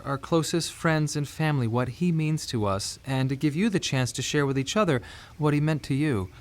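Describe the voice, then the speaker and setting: An actor playing a young husband and bereaved father in a radio drama monologue, speaking in a halting, emotionally raw way. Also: mid-range voice, natural voice.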